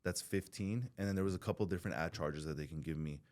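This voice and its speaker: Male guest from Southern California, very low voice; candid, profane, loose and rambling delivery. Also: fast talker, darkish tone. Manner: blunt, swears freely, rambling with restarts. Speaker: podcast guest in recovery. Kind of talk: candid podcast conversation.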